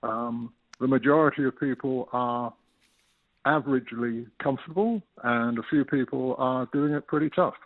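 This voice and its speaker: A man's voice: mid-range voice, normal speed, no room sound. An Englishman in his sixties, a retired resident, explaining things steadily as a guest over the phone with a few fillers. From United Kingdom. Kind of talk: phone interview. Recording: tinny phone line.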